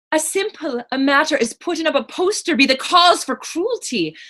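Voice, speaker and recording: A woman's voice: high-pitched, pushed and bright. A woman playing a plainspoken, teasing but compassionate woman in readers theater, speaking frank, folksy dialect. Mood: sorrowful, indignant. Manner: folksy, frank questioning in archaic Irish dialect. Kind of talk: readers theater dramatic dialogue.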